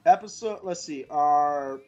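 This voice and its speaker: A man's voice: a little high, unhurried, warm tone, muffled recording, faint music behind. A man around forty hosting a casual podcast, rambling through stats with crude jokes and many fillers. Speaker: podcast host.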